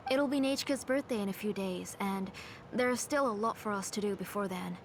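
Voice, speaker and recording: A woman voicing a calm, gently firm mother in a video game. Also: medium pitch, normal speed, slight background noise, close to an everyday voice.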